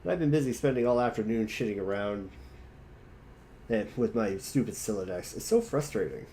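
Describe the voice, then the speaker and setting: A man's voice: lowish voice, lively intonation, a little fast, dark tone, a little hiss or hum. A man voicing a hapless, frustrated young teenage boy with a casual, sheepish manner in an amateur webcomic reading.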